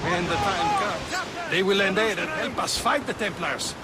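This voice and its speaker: An actor playing a haughty, sneering assassin in a video game cutscene, condescending and emphatic. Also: male voice, high-pitched, big pitch swings, measured pace, very noisy. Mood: proud, assured. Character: haughty, sneering male assassin of an 18th-century brotherhood.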